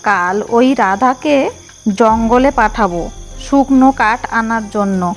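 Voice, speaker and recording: A woman's voice: on the high side, measured pace. A woman playing a scheming, bossy elder sister-in-law in a cartoon fairy tale, smug and conspiratorial. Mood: sly, scheming. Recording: music behind the voice.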